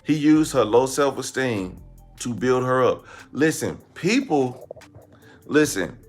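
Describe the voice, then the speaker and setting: A man's voice: mid-range voice, very animated, slow pace, faint music behind. An American man giving casual comedic commentary, slangy and blunt, repeating phrases for emphasis. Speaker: online commentary host.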